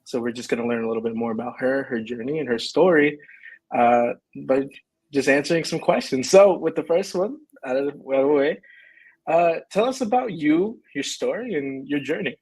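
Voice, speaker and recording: A man's voice: medium pitch, moderate pace, a little mellow, slightly rough, poor audio. A young man hosting a casual interview, upbeat, laughing often and restarting his questions. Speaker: interview host.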